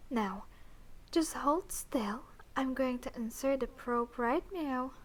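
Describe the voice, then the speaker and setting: Woman playing a slow, cool alien abductor doctor. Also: a little high, a bit bright, rough recording. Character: cool, clinical female alien abductor doctor, quietly teasing. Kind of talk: roleplay audio drama spoken to the listener.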